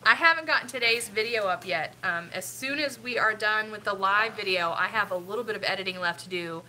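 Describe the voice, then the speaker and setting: A woman's voice: mid-range voice, a little fast, pushed and bright, a little hiss or hum, low-quality recording. A middle-aged woman with a stuffy, sniffly nose, rambling casually with lots of ums while hosting a family live stream. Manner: rambling, chatty, with frequent ums.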